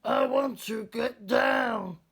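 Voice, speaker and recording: A man voicing a very loud, excitable young boy in a storybook, bellowing his exclamations. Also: takes it slowly, creaky, husky, exaggerated voice. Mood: frightened shouting. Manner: bellowing, high-pitched exclaiming.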